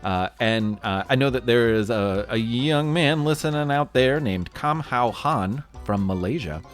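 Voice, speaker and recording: A man hosts a children's podcast in a casual, upbeat way. He sounds animated and enthusiastic as he talks to listeners over music. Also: mid-range voice, unhurried. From United States. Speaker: kids' podcast host. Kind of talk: casual listener shout-outs.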